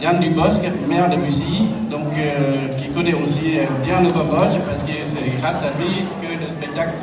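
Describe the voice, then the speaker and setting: Male emcee, higher voice, plain introductions, distant in a reverberant hall with a loud crowd. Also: moderate pace. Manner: plain, with fillers. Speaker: master of ceremonies. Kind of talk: introducing speakers at an event. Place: large hall at a celebration. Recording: echoey hall, far from mic, crowd noise.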